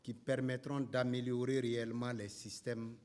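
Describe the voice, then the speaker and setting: A man's voice: medium pitch, flat delivery, moderate pace, darkish tone. A man reading a formal speech as an official.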